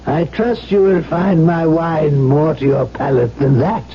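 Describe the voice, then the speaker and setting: A man's voice: on the high side, steady tone, unhurried, natural voice. A man playing a courtly, cryptic old alchemist in a radio drama, formal and wryly hospitable. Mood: wryly amused. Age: older. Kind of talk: 1970s radio drama acting. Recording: dull, hissy 1970s radio broadcast.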